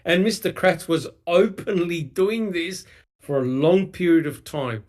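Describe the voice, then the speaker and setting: Man, somewhat high voice, heated, emphatic, swears, casual discussion. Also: a little slow, a little mellow. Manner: heated, emphatic, swears, mocks with acted-out quotes. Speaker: podcast co-host. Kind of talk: casual true-crime discussion.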